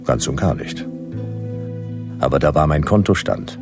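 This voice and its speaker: An actor playing a world-weary private detective, narrating a radio drama in a dry, laconic, sardonic way. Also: male voice, very deep voice, expressive, unhurried, music in the background, full character voice. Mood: dry, wry. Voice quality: gravelly.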